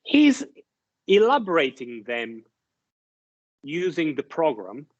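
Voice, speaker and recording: A slow, careful man lectures with a voice a little higher than most, stopping often to restart his sentences and asking whether the class follows. The recording sounds dull and lo-fi. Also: huge pitch swings. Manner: halting, many restarts and fillers, checks understanding with "right?". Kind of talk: university lecture.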